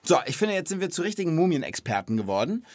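A man's voice: fairly high pitch, big pitch swings, fast talker, over music. A man hosting a children's knowledge podcast, chatting and explaining in a casual, enthusiastic, colloquial way.

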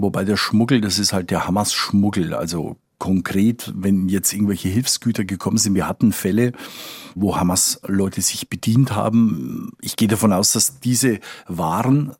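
A man's voice: fairly low pitch, moderate pace, darkish tone, husky, dry recording, clean studio sound. A man explaining things informally as a correspondent, thinking aloud and correcting himself as he goes. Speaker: foreign correspondent. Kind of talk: informal explanatory interview talk.

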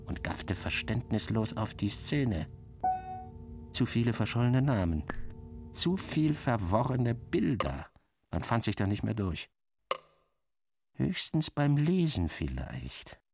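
A man reading first-person literary narration in a deliberate, dramatic manner. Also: medium pitch, sing-song, over music.

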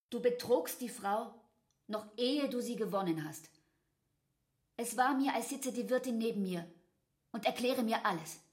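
A woman's voice: fairly high pitch, slightly rough, no room sound, clean studio sound. An actress playing a wounded, reproachful young woman in love in a literary radio drama, earnest and pleading. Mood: bitter, accusing.

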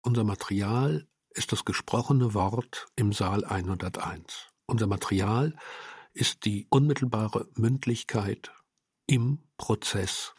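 Husky-voiced man, emphatic and deliberate. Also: lowish voice, a little mellow, dry recording, clean studio sound. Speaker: radio drama maker. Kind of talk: reflective interview statement about own work.

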